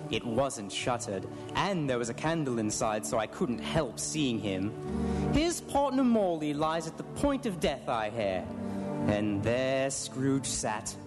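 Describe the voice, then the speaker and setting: A playful husband in a live stage radio play. His speech is slow and swoops up and down as he shares gossip, with music behind and long reverb. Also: medium pitch, very animated. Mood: teasing, musing. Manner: unhurried, playful, storytelling. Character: gentle husband teasing his wife with gossip. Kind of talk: live radio drama acting.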